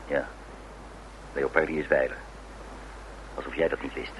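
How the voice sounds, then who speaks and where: An actor playing a cool, terse private detective in a radio play, deadpan and clipped. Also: male voice, extremely low pitch, moderate pace. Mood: cool, accusing. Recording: noisy old radio drama recording.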